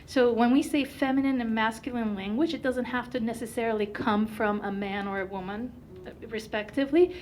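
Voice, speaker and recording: Animated woman presenter, native Turkish speaker. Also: a little high, a little fast, few pauses, a little hiss or hum. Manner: animated, many fillers. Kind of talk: conference presentation.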